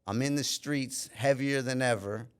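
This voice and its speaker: A man's voice: medium pitch, clear, resonant tone. A man from Minneapolis talking as a podcast guest, telling his life story candidly and reflectively, often adding "you know". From Minneapolis, USA. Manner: pauses and restarts mid-thought. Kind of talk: casual life-story interview.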